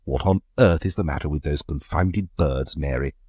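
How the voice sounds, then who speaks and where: A man reading a story aloud, voicing an outraged colonel who shouts demands. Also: very deep voice, normal speed, breathy edge, no echo, clean studio sound. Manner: blustering.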